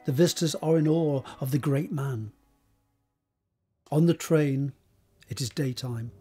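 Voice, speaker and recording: Higher-pitched, slightly husky male narrator. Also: darkish tone. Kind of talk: scripted narration of stage directions.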